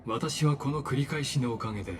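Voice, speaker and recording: An actor playing a cryptic, composed scholar antagonist in a video game, speaking with formal, measured politeness. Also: male voice, medium pitch, a little hiss or hum, natural voice. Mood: coolly reflective. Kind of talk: Japanese video game dub acting. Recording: game music behind the voice.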